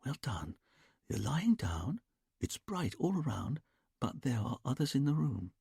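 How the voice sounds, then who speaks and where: A man doing a murmuring, smooth-voiced hypnotist. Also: mid-range voice, big pitch swings, no room sound, studio quality, voice pushed up, exaggerated voice. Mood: soothing. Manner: soft, slow, soothing murmur. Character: smooth, vain male hypnotist, murmuring gently.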